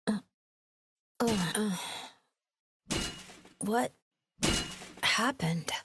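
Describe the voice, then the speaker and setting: A woman playing a gentle, earnest young robot boy in an anime game, acting and narrating. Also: mid-range voice, extremely slow, very noisy. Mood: dazed confusion.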